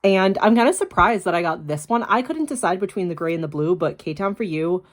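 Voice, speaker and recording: Hoarse young woman, blunt and laughing. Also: fairly low pitch, expressive, a little fast. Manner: blunt, swears freely, laughs often. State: hoarse from illness. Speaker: YouTuber. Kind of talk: casual unboxing vlog with urgent appeal.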